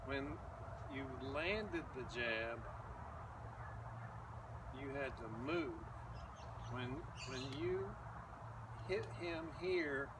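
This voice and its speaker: Older man talking slowly outdoors, far mic. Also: medium pitch. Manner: slow, plain-spoken, demonstrating moves while talking. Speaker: boxing coach. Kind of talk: outdoor boxing demonstration. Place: back garden outdoors. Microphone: far camera. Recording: distant outdoor mic with loud background noise.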